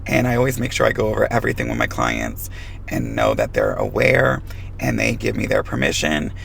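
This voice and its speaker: A man who is losing his voice, hoarse, giving frank advice to fellow stylists in a hair colouring tutorial. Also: on the low side, sing-song, brisk, a bit bright, slight background noise. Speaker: hair colorist.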